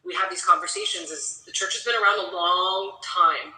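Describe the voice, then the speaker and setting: A woman's voice: fairly low pitch, moderate pace, sharp tone. A woman co-hosting a livestream, talking in a conversational, reflective way. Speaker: co-host. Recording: echoey, distant from mic.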